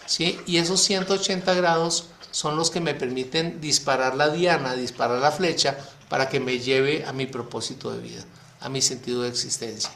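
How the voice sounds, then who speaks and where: A man's voice: on the high side, fairly bright tone, reverberant, a little hiss or hum. A man teaching an astrology workshop to a small group in a casual spoken style, explaining step by step and asking many rhetorical questions. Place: online talk for a private group.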